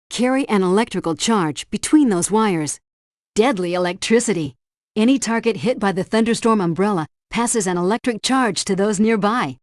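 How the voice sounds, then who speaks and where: A woman's voice: mid-range voice, no echo, studio quality, natural voice. A woman voicing a helpful female helpdesk guide in a video game, giving scripted tutorial instructions and mission briefings with precise diction.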